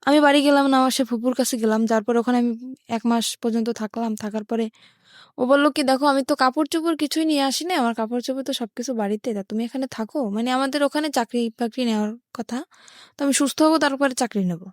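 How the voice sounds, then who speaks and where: A woman's voice: high-pitched, fast talker, darkish tone, no echo, clean studio sound. A young woman with a Bangladeshi accent, telling her life story as an interview guest.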